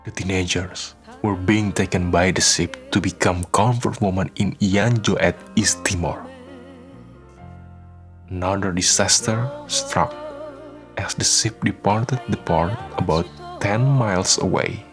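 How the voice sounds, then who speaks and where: A man narrating a scripted radio drama in measured, dramatic short phrases. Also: deep voice, steady tone, soft background music.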